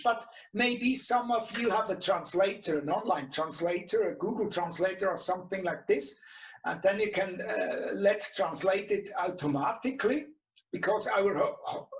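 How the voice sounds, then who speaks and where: A middle-aged man with a Swiss German accent and German as his native language, presenting an informal tutorial in halting English, searching for words. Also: high-pitched. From Switzerland. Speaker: webinar presenter and radio shop co-owner. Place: small indoor radio workshop. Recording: echoey room, distant mic.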